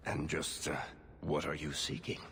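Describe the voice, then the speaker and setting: An actor playing a roguish dwarf storyteller in a video game, sardonic, smooth and theatrical. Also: male voice, rumbling low, monotone, moderate pace, a little hiss or hum. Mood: wry. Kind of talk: dramatic video game acting and narration. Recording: long reverb, loud music behind.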